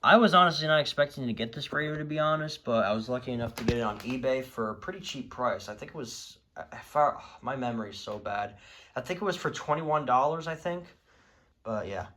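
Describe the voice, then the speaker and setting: A man's voice: medium pitch, fairly quick, bright, forceful tone, slight background noise. A man chatting informally and off the cuff as he presents a hobby collection, rambling and easily exasperated. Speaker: hobbyist video creator presenting a radio collection. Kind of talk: casual unscripted show-and-tell chat.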